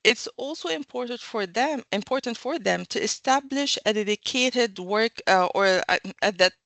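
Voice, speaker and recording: A woman from Egypt, a university academic answering podcast interview questions in a measured, explanatory way, with fillers and self-corrections. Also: fairly high pitch, lively intonation, fairly bright tone, no room sound.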